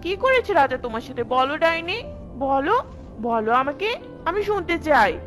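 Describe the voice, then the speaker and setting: A woman's voice: sits high, big pitch swings, normal speed, fairly bright tone, soft background music. A woman voicing a small, brave female bird in a cartoon, speaking insistently and emotionally. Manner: insistent, emotional, repeating pleas and demands. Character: small brave female tailorbird, indignant, pleading for her friend. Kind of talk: dramatic cartoon voice acting.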